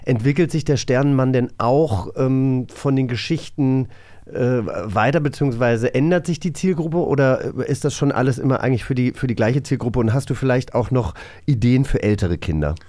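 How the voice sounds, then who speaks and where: A man hosts a relaxed interview in a very dry recording with no room sound. His pitch is average, and he builds long questions, rephrasing himself along the way. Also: moderate pace, dry recording. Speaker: podcast host, interviewer.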